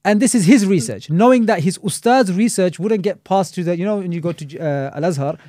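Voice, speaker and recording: Fast-talking man, mellow voice. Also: high voice, expressive, no room sound, clean studio sound. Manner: fast, critical, peppered with Arabic religious terms. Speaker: Islamic scholar and teacher. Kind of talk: casual podcast discussion.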